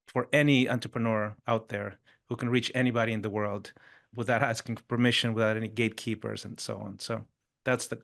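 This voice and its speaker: A man's voice: medium pitch, a bit bright. A middle-aged man from Chile with a mid-range voice, talking through his story. He speaks loosely and conversationally, often adding "sort of" and tagging "right?" onto his points. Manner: frequent "sort of" and "right?" tags, brief restarts. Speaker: podcast guest, performance coach.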